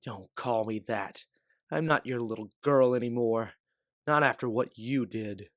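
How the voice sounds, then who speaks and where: Bitter young heroine, acted by a man, defiant, loud accusing outbursts, dry recording. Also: mid-range voice, measured pace, no echo, natural voice. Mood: cold anger. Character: bitter, wounded young woman, defiant adventurer.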